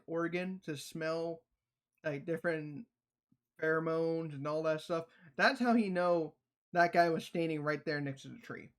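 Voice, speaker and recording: A man with a fairly high-pitched voice gives casual livestream commentary. He talks in loose slang with frequent swearing. He tells things like an animated storyteller. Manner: profane, slangy. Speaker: livestreamer reacting to a video.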